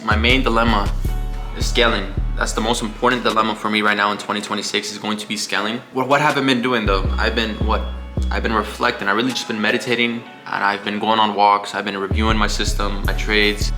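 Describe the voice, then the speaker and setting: A young man casually talking through his trading progress in a vlog, laid-back and repetitive, often saying "bro" and "you know". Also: on the low side. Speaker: day trading vlogger.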